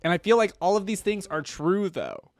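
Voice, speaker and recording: Animated man reacting casually, dry sound. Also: sits high, moderate pace, dry recording. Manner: animated, expressive, informal. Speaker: reactor commenting on video game content.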